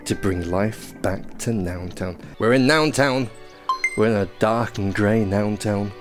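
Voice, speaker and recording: A man's voice: fairly low pitch, a little slow. A young man on a headset mic giving casual, self-deprecating commentary while trying a VR game, reading prompts aloud. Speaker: gaming YouTuber. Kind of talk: first-impressions gameplay commentary. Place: small indoor room playing VR. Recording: game music behind.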